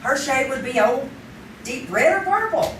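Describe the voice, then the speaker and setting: A woman's voice: high voice, lively intonation, a little slow, noisy background. An actress playing a stubborn, intuitive female sidekick in an old-time radio drama, with an insistent, knowing manner. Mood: sly, knowing. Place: community theater stage. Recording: roomy, distant live stage recording.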